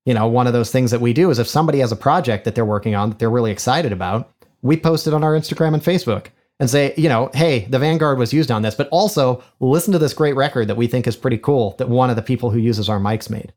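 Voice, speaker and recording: A man's voice: mid-range voice, quick pace, a little mellow. A man with a nasal, honky voice, talking as a podcast guest in a chatty, self-deprecating way, recorded on a studio condenser mic. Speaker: podcast guest, microphone designer. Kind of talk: casual interview chat. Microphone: studio condenser mic in figure-eight pattern.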